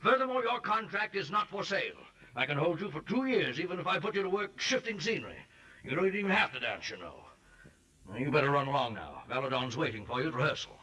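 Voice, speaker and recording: Company director, fairly high male voice, bright, blustering, businesslike, 1940s radio drama. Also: big pitch swings, fast talker, heavily acted, low-quality recording. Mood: firm, exasperated. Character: bluff, businesslike male ballet company boss.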